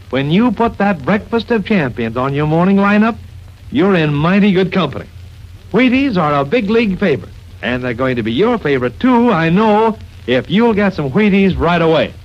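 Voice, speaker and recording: A man's voice: high-pitched, sing-song, normal speed. A man announcing and narrating in an emphatic, polished 1940s radio style. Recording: dull lo-fi 1940s radio recording.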